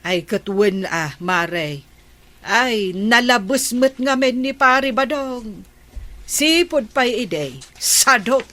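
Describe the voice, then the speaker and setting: Actress as concerned Ilocano village friend, measured pace, fairly high voice, radio drama. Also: female voice, expressive, sharp tone, exaggerated voice. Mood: sympathetic. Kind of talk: comedy radio drama acting.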